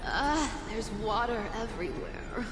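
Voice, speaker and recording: A woman's voice: fairly high pitch, expressive, a little slow, background noise. A woman playing a sly, fearless feline rogue girl in an anime game, teasing and nonchalant. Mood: grumbling. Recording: echoey reverb with music behind. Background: game music.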